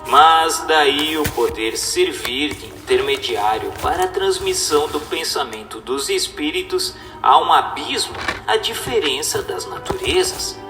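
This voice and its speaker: A man giving a scripted, solemn reading in a formal, didactic and deliberate manner, as a narrator. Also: fairly high pitch, lively intonation, bright, forceful tone. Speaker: narrator reading a spiritist text. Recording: echoey reverberant voice with music. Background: music.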